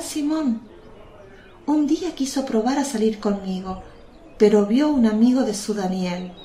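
A woman acts a serene, consoling mother in a religious radio play. Her mid-pitched voice is gentle and earnest, set in a roomy echo with birdsong. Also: lightly acted. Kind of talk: Spanish religious radio drama.

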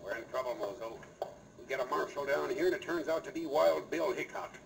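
An actor playing a scheming, bullying, scornful outlaw boss in an old radio western. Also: male voice, medium pitch, brisk, vocal fry. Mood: worried, urgent. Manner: menacing, scornful. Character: scheming, bullying male outlaw henchman chief. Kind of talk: 1950s radio western acting. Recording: distant, noisy old radio recording.

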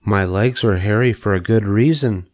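A man's voice: on the low side, moderate pace, a little mellow, close to an everyday voice. A man voicing a wise, kindly female spider in a storybook read-aloud, patient and explaining.

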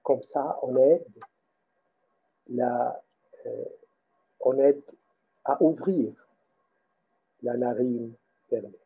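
A man leads a guided meditation in a husky voice. He speaks extremely slowly and haltingly, breaking instructions into short phrases. The recording is dull and lo-fi. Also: mid-range voice.

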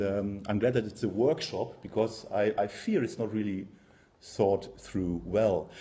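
A man in his forties with a German accent, giving an academic conference talk in a hesitant, halting manner with frequent pauses. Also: sits low, mellow. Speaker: university professor, conference speaker. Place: lecture room, indoors.

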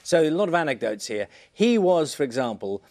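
A middle-aged British man presents morning news from a studio. His fairly high voice rises and falls animatedly as he chats in a loose, rambling, wry manner. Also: big pitch swings, brisk, darkish tone.

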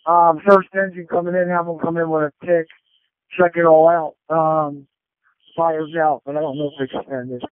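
A man's voice: a little high, little pitch movement, moderate pace, smooth, no room sound. A man, a fire officer on scene, giving matter-of-fact reports over two-way radio. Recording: tinny, dry radio transmission.

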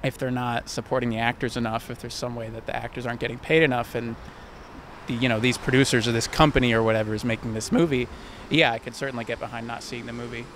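Flat-voiced young man thinking aloud. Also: mid-range voice, quick pace. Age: young adult. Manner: thinking aloud, restarting sentences. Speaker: passerby. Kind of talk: street interview answer. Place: outdoors on a city street. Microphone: handheld mic held by interviewer. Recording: faint street noise behind.

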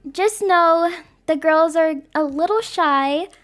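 A woman's voice: very high voice, takes it slowly, fairly bright tone. A woman voicing a caring, reassuring young mother in an acted family roleplay story. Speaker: creator voicing a roleplay video.

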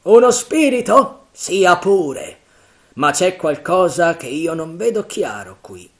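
A man voicing a bold, cunning male pirate leader, booming and raised high in an audiobook reading. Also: very animated, slow, fairly bright tone, full character voice. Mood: scornful.

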